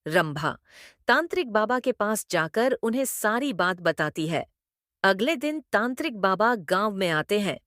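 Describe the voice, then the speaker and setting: A woman's voice: medium pitch, sing-song, a little slow, fairly bright tone, no room sound, clean studio sound. A woman narrating a scripted horror story as storyteller.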